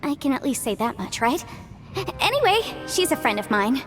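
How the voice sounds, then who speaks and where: A woman's voice: high-pitched, moderate pace. A woman voicing a cheerful, articulate young legal advisor in an anime-style game, polite and a little sly. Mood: teasing. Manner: chatty, expressive, articulate. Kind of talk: anime game cutscene voice acting. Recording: music behind the voice.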